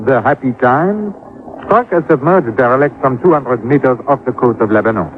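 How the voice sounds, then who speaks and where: An actor playing a polite, evasive port commissioner with a French accent and formal manner, in old-time radio style. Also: male voice, mid-range voice, natural voice. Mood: polite, official. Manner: formal, polite, measured official speech. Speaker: actor in a radio detective drama. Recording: tinny, noisy old radio recording.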